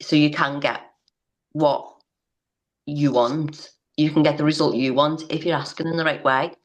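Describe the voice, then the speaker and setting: A woman's voice: low-pitched, fairly even intonation, normal speed, dull audio. A woman telling her own story as an interview guest, earnest and hesitant, with many restarts.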